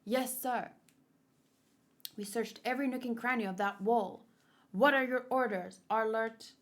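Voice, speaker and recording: A woman reading manga aloud as a brusque, impatient male soldier, clipped and forceful. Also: a little high, unhurried, natural voice. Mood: dutiful.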